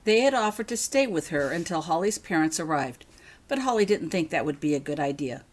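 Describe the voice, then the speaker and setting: A woman's voice: deep voice, animated, fairly quick. A woman reading scripted audiobook narration of a story as its author. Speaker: author reading their own fan fiction.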